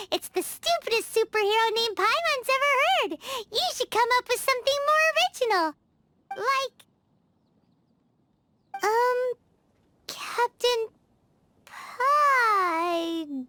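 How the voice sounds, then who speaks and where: A woman voicing a tiny, excitable female fairy companion in a video game cutscene, bubbly and cheeky. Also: extremely high pitch, slow, long pauses, a little hiss or hum, full character voice. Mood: teasing. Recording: game score playing underneath.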